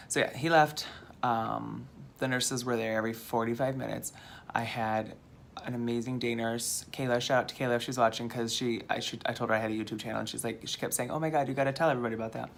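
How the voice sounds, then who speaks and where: A man from Minnesota with a fairly high-pitched, slightly hoarse voice, telling a rambling, self-deprecating story on his vlog. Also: steady tone, normal speed, slight background noise. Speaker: vlogger.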